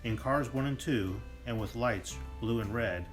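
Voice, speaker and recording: A man's voice: medium pitch, measured pace, music in the background, natural voice. A man playing a theatrical storybook narrator, telling a comic murder mystery in lilting rhyme. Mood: dramatic, wry. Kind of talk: scripted rhyming narration.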